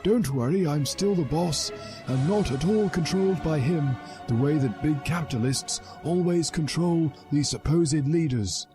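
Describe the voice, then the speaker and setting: A man's voice: on the high side, a little slow, voice pushed up, close to an everyday voice. A man voicing a smug, oily old wizard headmaster in a comic story reading. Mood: smug, breezy. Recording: music behind the voice.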